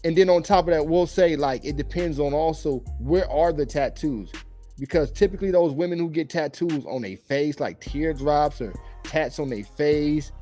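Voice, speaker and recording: Slightly hoarse man with a mellow voice. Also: on the high side, normal speed, soft background music. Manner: loose, rambling, conversational. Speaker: dating commentary vlogger. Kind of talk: casual opinionated commentary.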